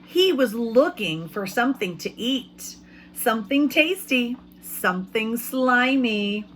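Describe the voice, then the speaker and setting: A woman teacher giving an expressive read-aloud lesson for young children, pausing to ask them questions. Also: on the high side, slight background noise. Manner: expressive storybook reading. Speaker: elementary school teacher.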